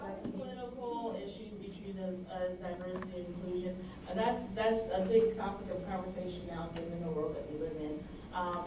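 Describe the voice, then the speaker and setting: A woman speaking as a panelist at a community forum, earnest and full of fillers. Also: fairly low pitch. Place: library meeting room. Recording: echoey room, distant mic, noise.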